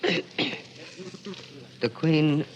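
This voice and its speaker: An actor playing a nervous gentleman gambler at a deadly card game, halting and gravelly, in 1940s radio style. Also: on the high side, sing-song, a little hiss or hum, full character voice. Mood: nervous dread. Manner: halting, faltering, drawn out. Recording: dull old radio recording.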